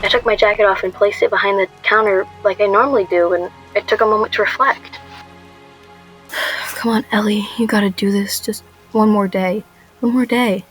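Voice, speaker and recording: A woman's voice: medium pitch, steady tone, normal speed, a bit bright, faint music behind, close to an everyday voice, poor audio. A woman playing an anxious, guarded young shop worker, narrating a radio drama in a wry, confessional way. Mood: hesitant, awkward.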